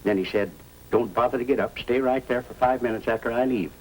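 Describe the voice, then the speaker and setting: A man's voice: medium pitch, brisk, a little mellow, husky, lightly acted, poor audio. A man playing a humble, obliging American janitor, folksy and rambling, in naturalistic old radio drama style. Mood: plaintive, earnest. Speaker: supporting actor in a police radio drama. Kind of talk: 1950s radio acting, naturalistic. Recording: old radio recording with faint noise.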